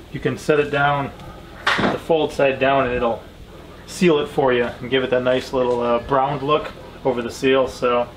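A man's voice: medium pitch, background noise. A man in his thirties, a home cooking vlogger, casually explaining a simple recipe in a small kitchen as he cooks. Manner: relaxed, rambling, explains as he cooks. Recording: clear kitchen sizzle behind voice. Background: pan sizzling and frying.

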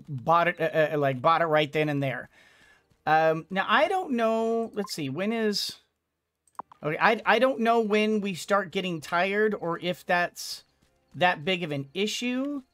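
A man's voice: fairly high pitch, a bit bright, no echo. A middle-aged man giving casual let's play commentary, hesitant and musing to himself as he plays. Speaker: gaming video host. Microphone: microphone on boom arm, headset. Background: game music.

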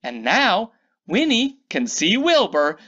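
A woman narrates a children's storybook in a theatrical read-aloud style. Her pace is unhurried and her pitch swings widely, stretching key words for emphasis. The recording sounds a little dull and lo-fi. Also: wildly swooping pitch, a bit bright.

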